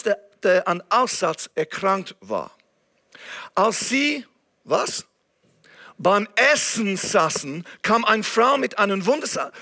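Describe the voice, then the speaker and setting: A man's voice: high voice, creaky, bright, forceful tone. A man from England preaching a sermon in German with an English accent, earnest and now and then searching for words. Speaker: guest preacher.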